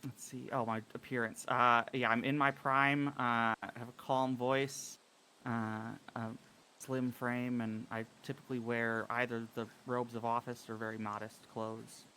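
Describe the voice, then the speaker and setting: A man describing his character in a tabletop game session, halting with many fillers and restarts. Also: mid-range voice, flat delivery, pushed and bright, a little hiss or hum, low-quality recording. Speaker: player.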